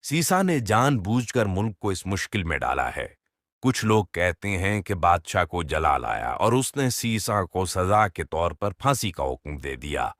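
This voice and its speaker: A man narrating scripted children's stories as an expressive storyteller. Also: deep voice, a little slow, no room sound, clean studio sound.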